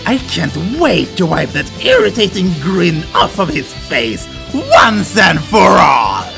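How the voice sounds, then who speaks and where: An amateur voice actor playing a flamboyant, sadistic card-game villain in an anime-style comic dub, drawing out menace and breaking into shouts. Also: mid-range voice, huge pitch swings, vocal fry, music in the background, exaggerated voice. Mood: gloating triumph. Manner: theatrical, drawn-out menace with sudden shouts.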